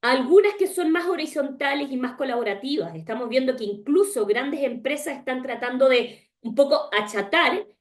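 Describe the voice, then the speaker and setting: A woman's voice: sits high, animated, normal speed, few pauses. A woman from Chile with a Chilean accent, presenting a webinar over a video call and often asking rhetorical questions. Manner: engaged, asks rhetorical questions, often tags "¿ya?". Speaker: webinar speaker.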